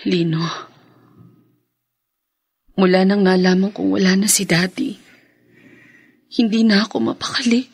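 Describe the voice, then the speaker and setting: A woman's voice: medium pitch, unhurried, long pauses, a little mellow, slightly rough. A woman playing a grieving young wife in a Filipino radio drama, plaintive and emotional. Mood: grieving, tearful.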